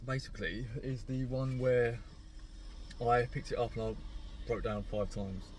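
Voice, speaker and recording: A man's voice: medium pitch, unhurried, darkish tone, a little hiss or hum. A young man talking casually to camera from his parked car, rambling and laid-back, with a sore throat that makes his voice crack. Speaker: car vlogger.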